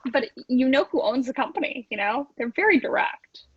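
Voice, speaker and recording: A woman's voice: high voice, lively intonation, brisk, lo-fi sound, crowd noise. A woman talking in an informal, conversational way within a group. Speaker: podcast cast member. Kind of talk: casual chat.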